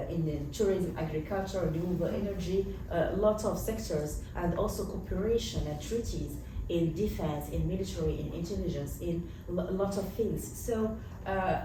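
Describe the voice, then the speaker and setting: A woman speaking on a panel in a halting way, pausing often with "uh" as she searches for words. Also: lowish voice. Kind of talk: panel discussion. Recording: very echoey hall, distant mic, background noise.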